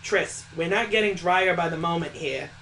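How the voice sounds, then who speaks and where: A man's voice: a little high, moderate pace, sharp tone, slight background noise, low-quality recording. A man voicing an impatient, bossy girl companion from a visual novel, urgent and exclaiming. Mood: complaining.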